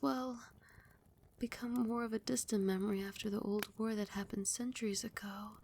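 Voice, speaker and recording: A woman playing a doting, dominant, seductive vampire queen in a soft, breathy, often whispered ASMR roleplay, with slow, soothing endearments. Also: medium pitch, a little hiss or hum. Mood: somber, hushed.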